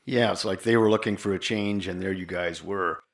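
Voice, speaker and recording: Low-voiced male interview host. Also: steady tone, moderate pace. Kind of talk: casual interview chat.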